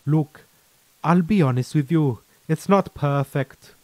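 A man's voice: fairly high pitch, slow pace, a little mellow, no room sound. A man voicing a chatty, frank, easygoing veteran soldier in a sci-fi story, with colloquial, mildly profane, persuasive talk.

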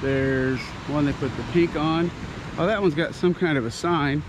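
A man's voice: on the high side, moderate pace. A man in his sixties walking a beach at sunrise, chatting and telling a rambling story with playful puns into a handheld action camera. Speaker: teacher vlogger. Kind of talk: casual walking vlog narration. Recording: surf noise behind. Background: ocean waves.

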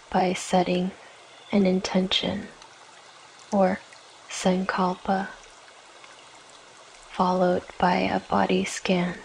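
A woman guiding a yoga nidra meditation in a gentle, soothing, measured way, leaving long pauses between short phrases. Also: medium pitch, slight background noise, low-quality recording. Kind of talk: guided meditation, scripted narration.

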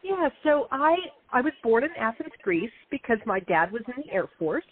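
A woman's voice: a little high, sing-song, moderate pace. A woman in her late fifties with an American accent, chatting casually as a guest author about her own life. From United States, Ohio and North Carolina. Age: late 50s. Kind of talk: casual chat, personal recollection. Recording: tinny phone-like line.

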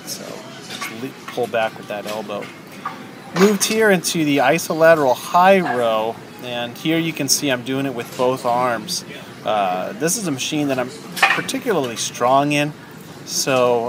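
A man's voice: medium pitch, heavy background noise. A man in his thirties talking casually about his gym workout, with plenty of ums and uhs. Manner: relaxed, many ums and uhs. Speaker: fitness vlogger.

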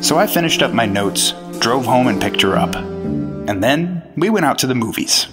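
A straight-laced male FBI agent played by an actor in a 1950s-style radio drama. He is earnest and matter-of-fact, speaking at a medium pitch over prominent music. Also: big pitch swings, moderate pace, natural voice. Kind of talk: retro radio drama acting with first-person narration.

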